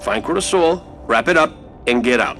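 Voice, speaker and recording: An actor playing a roguish android scout commander in a video game, breezy and sardonic as he hands out brisk orders. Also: medium pitch, lively intonation. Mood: briskly instructing. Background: loud music.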